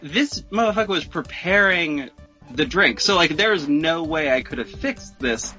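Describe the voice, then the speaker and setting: A man telling a personal story as a podcast guest in a casual, animated, profane, slangy way. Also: on the high side, normal speed, faint music behind, poor audio.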